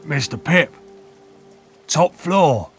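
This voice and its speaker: A man playing a rough, weary ex-convict of about sixty in an audiobook, speaking slowly and quietly in a husky, fond way. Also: fairly high pitch, expressive, faint music behind. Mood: gruff, calm. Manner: slow, quiet, deliberate.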